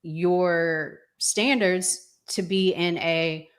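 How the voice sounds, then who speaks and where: Slow, measured woman hosting a relationship chat. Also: fairly low pitch, fairly even intonation, clean tone. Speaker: podcast host. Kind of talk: casual relationship talk show chat.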